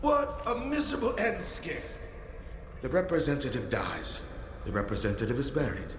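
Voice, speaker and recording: An actor playing a fanatical, grief-maddened cult leader in a video game, delivering a philosophical, declamatory monologue. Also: male voice, medium pitch, animated, normal speed, close to an everyday voice. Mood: morbid musing. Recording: echoey space, loud ambient noise.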